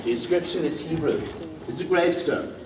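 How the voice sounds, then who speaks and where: A man guiding a walking tour outdoors, lively and quizzing the group with questions. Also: fairly high pitch, a little fast, crowd noise. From Ireland. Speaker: tour guide. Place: outdoors in old city streets. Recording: distant mic, street noise.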